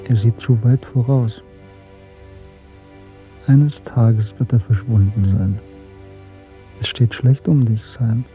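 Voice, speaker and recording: An actor playing a bitter, brooding male dissident in a radio drama, earnest and intense with a husky voice. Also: mid-range voice, fairly even intonation, slow pace, pauses often, mellow, lightly acted. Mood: ominous. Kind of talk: German radio drama acting. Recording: muffled phone-like band, faint music behind.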